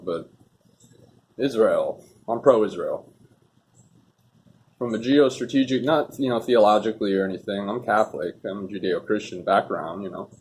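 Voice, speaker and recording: A man's voice: on the low side, darkish tone, a little hiss or hum. A man hosting an off-the-cuff political commentary vlog, talking in a rambling, opinionated, casual way.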